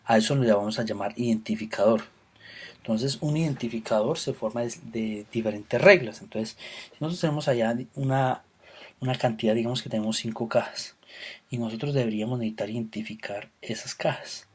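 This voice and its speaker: Husky-voiced man teaching a programming class. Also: mid-range voice, fairly quick, darkish tone. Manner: patient explanation with fillers and self-corrections. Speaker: programming instructor. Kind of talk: recorded lecture.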